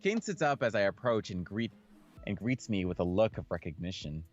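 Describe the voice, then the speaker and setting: A man's voice: lowish voice, very animated, brisk, muffled recording. A man doing a casual, jokey playthrough, reading a visual novel aloud and commenting in slang. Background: background game music.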